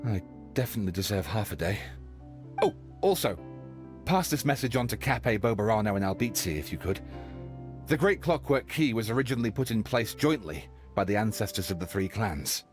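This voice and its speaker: An actor playing an earnest, brooding storybook detective in a video game, speaking in a theatrical, confessional way. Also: male voice, on the low side, big pitch swings, brisk, faint music behind. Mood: resigned. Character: earnest, brooding male storybook detective questioning whether he is real.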